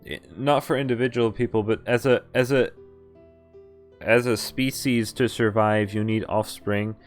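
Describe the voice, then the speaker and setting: A man's voice: lowish voice, steady tone, normal speed. Young man reading a game aloud, music behind. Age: young adult. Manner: casual, breaks off to joke and comment. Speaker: streamer reading a visual novel aloud. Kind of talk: live game playthrough, reading text with casual commentary.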